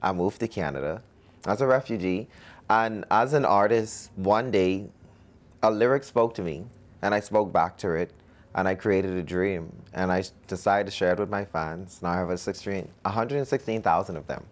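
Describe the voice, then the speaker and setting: A man's voice: deep voice, moderate pace. A young man from the Bahamas, a musician answering interview questions in a cocky, self-promoting way.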